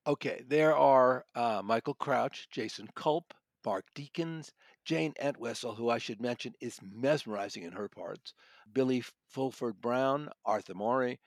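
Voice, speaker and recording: A man's voice: mid-range voice, a little slow, no echo. A man reviewing books in conversation as a podcast guest, enthusiastic and considered in how he talks. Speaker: audiobook critic and podcast guest.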